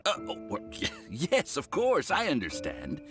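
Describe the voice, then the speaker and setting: A man's voice: fairly high pitch, huge pitch swings, unhurried, full character voice. An actor voicing an obsequious, money-minded male landlord with effusive, oily politeness in an anime-style video game. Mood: ingratiating. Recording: music playing behind.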